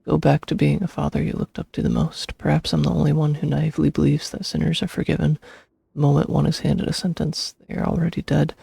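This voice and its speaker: Creaky-voiced, husky male gaming streamer. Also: fairly high pitch, no room sound. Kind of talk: game playthrough, reading text aloud and commenting casually. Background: soft game music.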